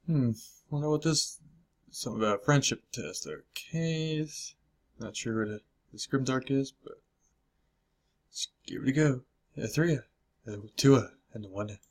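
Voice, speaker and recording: A man's voice: mid-range voice, a little slow, pauses often. A man hosting a reaction video, giving casual, off-the-cuff commentary in short muttered remarks.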